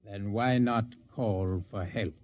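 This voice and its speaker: An actor in a 1950s radio drama playing an alien agent who poses as a young ship's lieutenant, speaking in a formal, measured way. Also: male voice, lowish voice, a little mellow. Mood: cold, smug. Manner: measured, formal, coolly superior once unmasked. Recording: vintage 1950s radio recording with noise.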